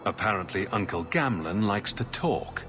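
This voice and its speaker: An actor playing a composed, determined young hero in a fantasy game, speaking calmly over loud music. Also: male voice, lowish voice. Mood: calm, resolute. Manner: calm, measured. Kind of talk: video game cutscene acting.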